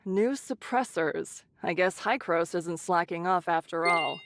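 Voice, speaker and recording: A woman voicing a caring, brisk shelter leader who scolds in a motherly, teasing way, in an English anime game. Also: lowish voice, a bit bright. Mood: businesslike.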